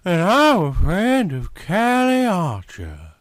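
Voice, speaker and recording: A man's voice: high-pitched, huge pitch swings, slow pace, no room sound, studio quality, pitched above the performer's own voice, full character voice. A man reading a story aloud, voicing a flirtatious red-haired woman of about thirty-five with a Southern US drawl. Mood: coy. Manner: coy, drawling.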